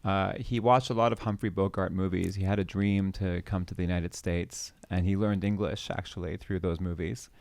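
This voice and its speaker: Man, very low voice, from Canada, middle-aged, hesitant with many restarts, dry close sound. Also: steady tone, moderate pace, vocal fry, hardly any pauses, darkish tone, dry recording. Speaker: podcast guest. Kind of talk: conversational interview.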